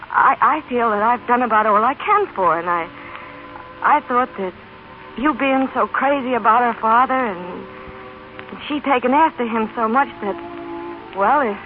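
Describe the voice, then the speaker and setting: An actress playing an awkward, self-sacrificing working-class mother in a vintage radio drama, speaking haltingly with stammers and homespun grammar. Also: a little high, slight background noise, close to an everyday voice. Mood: earnest, pleading. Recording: tinny band-limited old radio recording.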